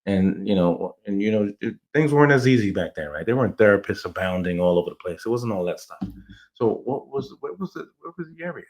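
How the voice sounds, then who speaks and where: Enthusiastic low-voiced middle-aged man. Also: animated, fairly quick, a little mellow. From Jamaica, raised in New York. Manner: enthusiastic, rambling with restarts. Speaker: playwright, guest. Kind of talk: casual interview storytelling.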